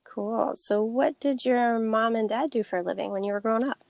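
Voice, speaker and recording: A woman's voice: fairly high pitch, a little fast, gravelly, darkish tone, no echo. A woman hosting a podcast, reading an intro and then asking questions in an upbeat, enthusiastic way. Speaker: podcast host. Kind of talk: scripted intro, then casual interview.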